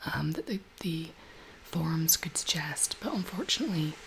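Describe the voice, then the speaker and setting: Hushed, breathy woman doing ASMR. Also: deep voice, animated, noisy background. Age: 30s. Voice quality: breathy, whispery, slightly husky. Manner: gentle, hushed, unhurried. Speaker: ASMR video host. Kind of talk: soft-spoken ASMR, casual chat.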